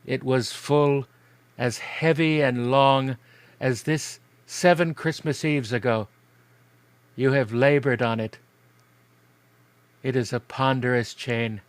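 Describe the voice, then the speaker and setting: A man's voice: medium pitch, steady tone, slow, long pauses, smooth, dry recording, natural voice. A man voicing a stern, mournful ghost with grave, declamatory delivery in a dramatic reading of a Victorian story. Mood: grave, ominous. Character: mournful, stern male ghost burdened by chains and remorse.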